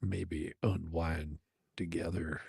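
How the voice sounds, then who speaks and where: Man acting a low, teasing seducer. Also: level tone, slow, vocal fry, darkish tone, breathy edge, no echo, studio quality, full character voice.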